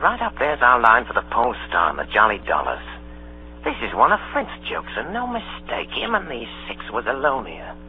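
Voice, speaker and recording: An actor playing a sly, scheming old ringleader in a radio drama. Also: male voice, mid-range voice, wildly swooping pitch, moderate pace. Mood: scheming, grim. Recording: old tinny radio broadcast, music behind.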